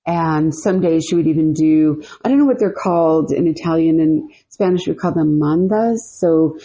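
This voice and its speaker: A woman's voice: low-pitched, moderate pace, warm tone, dull audio. A woman co-hosting a podcast, telling a story casually, rambling with lots of "you know" and "like". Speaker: podcast co-host.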